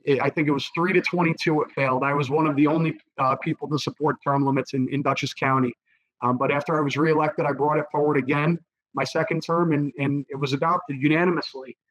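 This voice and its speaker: A hoarse man, a political candidate giving earnest interview answers, with many fillers and repeated words. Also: mid-range voice, fairly bright tone, no room sound, highs cut off. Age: 30s.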